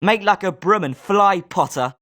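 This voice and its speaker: High-voiced schoolboy wizard, smug, rivalrous, clearly enunciated, video game dialogue. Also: male voice, normal speed, pushed and bright. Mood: scornful. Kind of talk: scripted video game voice lines.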